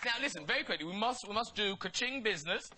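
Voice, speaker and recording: A male presenter interviewing a guest in a brisk, jokey way. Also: high-pitched, animated, a loud crowd, very noisy. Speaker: radio presenter. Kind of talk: broadcast interview.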